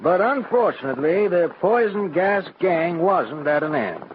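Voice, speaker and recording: An actor playing a grave, authoritative official who narrates crime cases on an old radio show. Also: male voice, sits high, expressive, a little slow. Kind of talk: 1940s radio scripted narration. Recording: muffled, noisy old radio broadcast.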